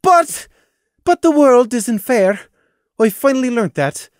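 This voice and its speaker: A man voicing a bitter, hurt young mare who snaps and shouts in a high, shrill voice. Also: animated, slow, no room sound, clean studio sound, exaggerated voice. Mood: controlled.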